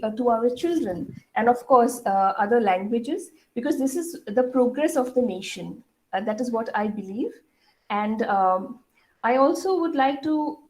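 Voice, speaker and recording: Middle-aged woman, Indian English accent, school leader at assembly, earnest and halting, a bit high-voiced. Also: darkish tone, rough recording. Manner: earnest, many fillers, asks the audience questions. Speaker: school head addressing students.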